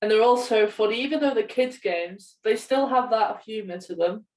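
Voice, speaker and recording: A woman's voice: fairly high pitch, normal speed, darkish tone. A young woman chatting casually as a podcast co-host. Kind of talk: casual chat. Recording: voice distant from the microphone.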